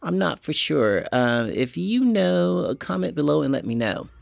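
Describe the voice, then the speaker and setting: A man's voice: a little high, big pitch swings, unhurried, gravelly, no echo. A man hosting a casual shopping vlog, talking through what he sees as he goes. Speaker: vlog host. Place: inside a retail store.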